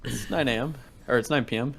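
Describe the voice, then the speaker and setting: A man's voice: mid-range voice, fast talker, vocal fry, a bit bright. A man chatting casually on a streamed call in a teasing, prodding manner. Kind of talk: casual chat.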